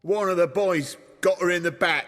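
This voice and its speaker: An actor playing a coarse male bandit thug in a video game, blunt and rough-voiced. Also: sits high, normal speed, a bit bright, big-room sound. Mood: evasive, nervous.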